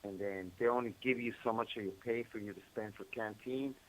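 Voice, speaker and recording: A man's voice: fairly low pitch, steady tone, fairly quick, fairly bright tone, low-quality recording. A man calling by phone from prison, chatting casually in slangy, repetitive bursts. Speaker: incarcerated caller. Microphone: phone call.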